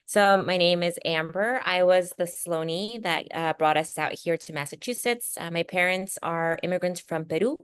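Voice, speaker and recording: Low-pitched, clear-voiced American woman panelist. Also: normal speed, few pauses, dry recording. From California, USA. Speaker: panelist, business school alumna. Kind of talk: conversational panel answers about own experience.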